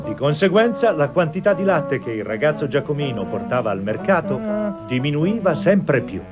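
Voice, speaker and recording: A man's voice: on the high side, big pitch swings, moderate pace. A man narrating a children's cartoon fairy tale in a scripted, theatrical storytelling manner. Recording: dull lo-fi TV soundtrack with music. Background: music.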